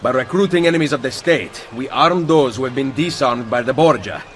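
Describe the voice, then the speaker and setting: A man's voice: mid-range voice, fairly quick, a bit bright, slight background noise, close to an everyday voice. A man playing a resolute, composed assassin leader with an Italian accent in a video game. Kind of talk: video game cutscene acting.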